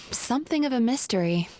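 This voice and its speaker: A woman voicing a courteous, measured young proprietor of a therapy service in a video game. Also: on the high side, lively intonation, a little mellow, soft background music, close to an everyday voice.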